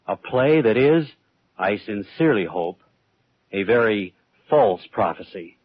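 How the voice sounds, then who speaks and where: A man's voice: fairly low pitch, lively intonation, measured pace, dry recording. A man narrating the introduction to a radio play in a formal, dramatic, old-time radio style. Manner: formal, portentous. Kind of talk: dramatic scripted 1940s-50s radio narration. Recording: dull, dry old radio recording.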